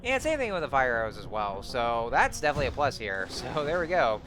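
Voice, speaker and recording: A man's voice: mid-range voice, very animated, a little fast. A man giving casual, rambling commentary on his gameplay, full of fillers and restarts, his voice a little scratchy. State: slightly scratchy voice. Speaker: let's play commentator. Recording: game music behind voice. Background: game music.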